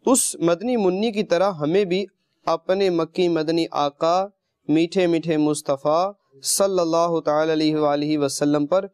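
A man's voice: on the high side, darkish tone, dry recording, clean studio sound. A man telling religious stories and moral lessons to children in an instructive way, often addressing his listeners directly. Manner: didactic, addresses listeners with questions and pet phrases. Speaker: religious storyteller and host of a children's programme. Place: indoor studio set, seated on carpet among children.

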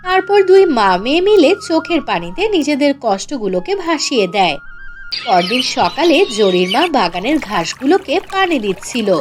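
Female fairy-tale narrator for cartoons: high-pitched, very lively intonation, scripted storytelling. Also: moderate pace. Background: faint music.

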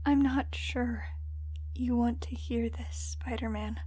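A woman's voice: a little high, fairly even intonation, slow, dark tone, slightly rough, dry recording, rough recording. A woman playing a hesitant, sorrowful young psychic in a comic dub.

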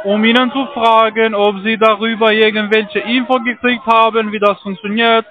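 High-pitched foreign-accented man on a muffled line. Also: level tone. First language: not German. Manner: halting, ungrammatical German. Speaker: call centre phone salesman. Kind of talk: phone sales pitch.

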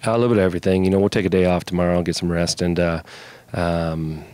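A middle-aged man, a basketball coach, giving conversational, measured answers in a postgame interview on a handheld mic. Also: sits low, flat delivery, a little mellow. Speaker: college basketball head coach. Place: indoors in front of a media backdrop. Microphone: handheld mic held by interviewer.

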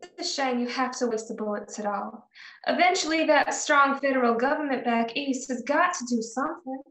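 A woman's voice: sits high, moderate pace, fairly bright tone. A woman playing a prim, callous frontier townswoman in a radio western, with a complaining manner. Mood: disdainful. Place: indoors at home, video call. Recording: echoey room on video call.

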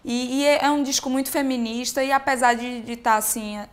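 Young woman, Pernambuco accent, higher voice, lively interview guest. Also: a little fast. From Pernambuco, Brazil. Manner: animated, expressive, gestures while talking. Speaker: singer-songwriter guest. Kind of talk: casual interview chat. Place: sunny living room, seated on a sofa.

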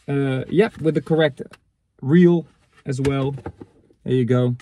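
A man in his thirties chatting casually and unscripted in a halting, matter-of-fact way as he thinks aloud, recorded inside a parked car. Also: medium pitch, mellow, a little hiss or hum. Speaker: vlogger reviewing secondhand reel-to-reel tapes. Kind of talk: casual unscripted chat, commenting on items as he handles them.

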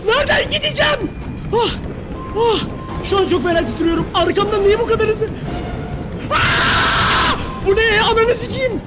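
A man live streaming games with excitable, sweary commentary, often repeating his words. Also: very high voice, moderate pace, raised voice, over loud music. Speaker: game streamer.

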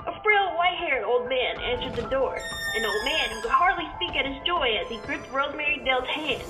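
Woman as an ominous high-voiced horror storyteller. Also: poor audio. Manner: theatrical, portentous. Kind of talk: scripted old-time horror radio narration.

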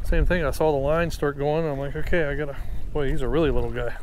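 A man's voice: on the high side, fairly even intonation, quick pace, low-quality recording. A middle-aged man vlogging while fishing from a windy lakeshore, chatting casually and thinking aloud through an action camera mic. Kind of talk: casual on-the-spot commentary. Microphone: chest or head-mounted action camera. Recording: loud wind noise on the mic.

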